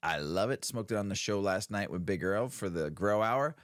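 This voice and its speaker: Podcast co-host, male, very low voice, relaxed rambling delivery, dry close recording. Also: normal speed, no echo. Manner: laid-back, rambling, laughs often. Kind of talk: casual stoner podcast chat.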